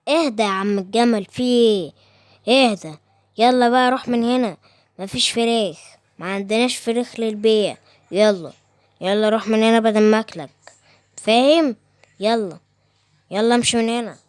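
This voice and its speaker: A woman's voice: a little high, normal speed, pauses often, smooth, dry recording, close to an everyday voice. A woman with an Egyptian accent voicing a lazy, bossy male lion king who grumbles and gives orders. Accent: Egyptian Arabic.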